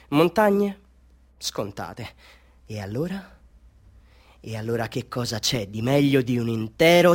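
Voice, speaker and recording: Chatty, higher-pitched hustling street photographer. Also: male voice, fairly bright tone, exaggerated voice. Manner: showman patter, ingratiating, mock-grand, swinging into wry asides. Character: chatty, self-mocking Bolivian amateur street photographer hustling tourists. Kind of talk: theatrical radio drama monologue addressed to tourists.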